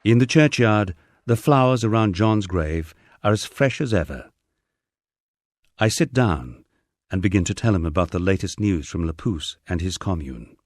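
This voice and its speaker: A man's voice: sits low, expressive, normal speed, no echo, clean studio sound. A man reading his own memoir aloud in a wry, storytelling manner. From England. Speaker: audiobook narrator. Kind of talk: first-person memoir narration.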